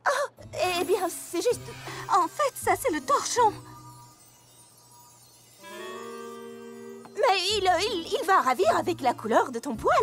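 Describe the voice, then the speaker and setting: A woman's voice: very high-pitched, very animated, normal speed, a bit bright, heavily acted. A woman voicing a polite, eager young female pony in a French-language cartoon dub, with theatrical expression. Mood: flustered. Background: music.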